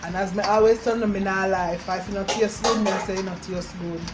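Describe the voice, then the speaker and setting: Casting: Jamaican woman, medium pitch, casual vlog host with Patois touches, recorded with prominent background music. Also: fairly even intonation, brisk. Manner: informal, slipping into Patois. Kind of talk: casual chat to viewers while demonstrating homemade drinks. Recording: music over the talk.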